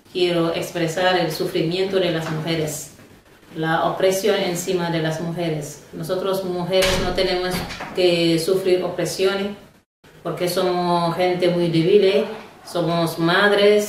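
A woman from Senegal, a workshop participant, giving a slow, deliberate spoken testimony. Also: fairly low pitch, a little hiss or hum. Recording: echoey room, mic far off.

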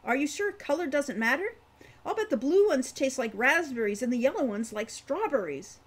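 A woman voicing an impulsive, excitable young girl who talks eagerly and pushes her point. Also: high-pitched, moderate pace, voice pushed up. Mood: excited. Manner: bubbly, eager, pushy.

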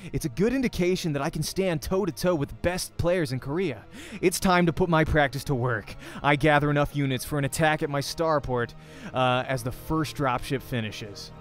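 A young man with a slightly high voice narrates a visual novel for a let's play. He reads in the first person and tells it dramatically, like a story. Faint music runs underneath. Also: moderate pace.

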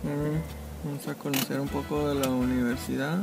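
Joking man vlogging over loud music. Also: mid-range voice, flat delivery, moderate pace, vocal fry. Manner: joking, chatty, hesitant restarts. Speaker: travel vlogger. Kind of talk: casual travel vlog addressing viewers.

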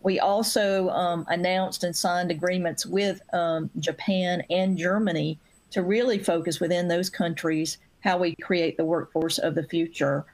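A middle-aged woman speaking earnestly on a video-call panel, measured with some fillers. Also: lowish voice, fairly even intonation, a little mellow, rough recording. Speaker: industry panelist. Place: home room.

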